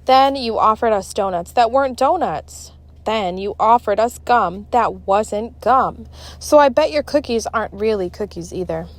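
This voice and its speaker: A woman's voice: a little high, lively intonation, normal speed, close to an everyday voice. A woman voicing insistent, distrustful young classmates in a children's story. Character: distrustful young classmates, boys and girls, complaining to teacher.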